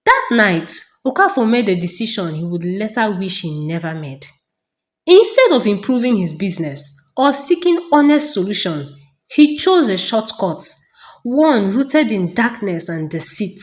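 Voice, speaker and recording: A woman narrating a folktale as a storyteller, in a dramatic, deliberate and suspenseful scripted style with pauses. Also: mid-range voice, sing-song.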